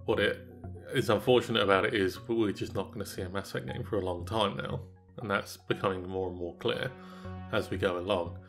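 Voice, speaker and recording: Young male co-host, low voice, stuffed-up nasal tone, chatty and rambling, soft music underneath. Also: fairly quick, gravelly. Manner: rambling, filler-heavy, disappointed. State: nasal from a cold. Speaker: gaming channel co-host. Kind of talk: casual gaming discussion. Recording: faint background music.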